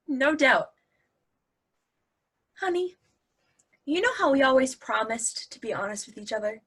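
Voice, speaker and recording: A woman's voice: high voice, expressive, measured pace, long pauses, fairly bright tone, husky, heavily acted. A woman acting in a play reading as a sly, upbeat wife, teasing and persuasive. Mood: coy. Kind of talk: virtual stage play reading.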